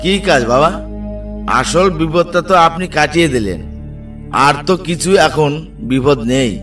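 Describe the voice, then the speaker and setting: A man's voice: fairly high pitch, moderate pace, close to an everyday voice. A man voicing an anxious village headman in a cartoon. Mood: worried. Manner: anxious, pleading. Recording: distant voice with faint music.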